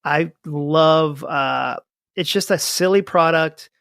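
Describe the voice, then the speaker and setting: Gravelly, chatty man in his forties. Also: fairly high pitch, slow pace, no echo, studio quality. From New England. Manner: rambling, animated storytelling with many fillers. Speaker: podcast guest. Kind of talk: casual conversation.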